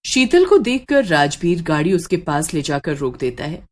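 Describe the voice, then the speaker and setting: A woman's voice: low voice, sing-song, normal speed, a little mellow. A woman storyteller reading a scripted horror story.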